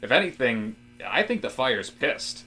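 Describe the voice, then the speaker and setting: Guy as casual office dude with intense theories, bright voice, earnest and rambly, comedy audio play. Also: medium pitch, big pitch swings, measured pace, faint music behind. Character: Rob, eager, casual male office worker with intense theories. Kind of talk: comedic audio drama acting.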